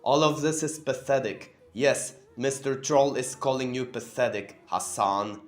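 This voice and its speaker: A man's voice: medium pitch, a little slow. A man hosting a commentary channel, narrating in a sarcastic, scornful way. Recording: roomy room, faint music behind.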